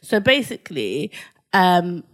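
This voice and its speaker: Lower-voiced woman telling a lively story. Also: takes it slowly. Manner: animated storytelling, laughs often. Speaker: podcast co-host. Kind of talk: casual chat.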